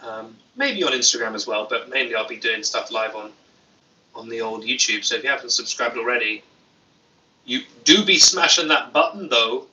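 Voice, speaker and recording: A man hosts a relaxed livestream, reading comments with deadpan, playful humor. His voice is very bright and sharp, at a middle pitch, picked up a little away from the mic. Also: normal speed, recorded from a distance, a little hiss or hum, rough recording. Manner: deadpan, playful, jokey asides. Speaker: YouTuber livestream host.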